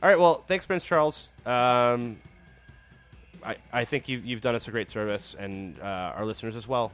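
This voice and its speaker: A man's voice: medium pitch, moderate pace, fairly bright tone, faint music behind. A man hosting a podcast, chatting casually and rambling while phlegmy from a cold. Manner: rambling, hesitant restarts. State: phlegmy, getting over a cold. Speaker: podcast host. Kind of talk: casual chat.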